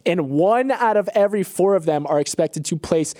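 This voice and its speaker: Higher-pitched American male podcast co-host. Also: expressive, normal speed, dark tone, no room sound, studio quality. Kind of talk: casual news banter with co-host.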